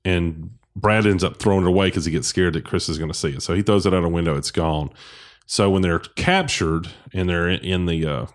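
A low-voiced man rambling through a movie recap. It comes with many restarts and self-corrections. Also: fairly quick. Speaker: podcast co-host. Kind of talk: casual movie discussion.

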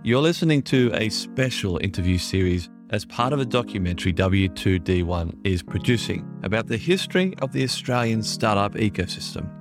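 A man hosting a podcast, reading a scripted introduction in a smooth delivery. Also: fairly low pitch, lively intonation, fairly quick, hardly any pauses. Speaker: podcast host. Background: guitar music.

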